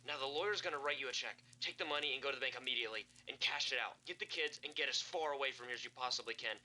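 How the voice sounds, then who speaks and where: An actor playing a stern, protective park ranger with an urgent, commanding manner in a found-footage horror drama. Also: male voice, mid-range voice, racing, sharp tone, a little hiss or hum, poor audio. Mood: urgent, pleading.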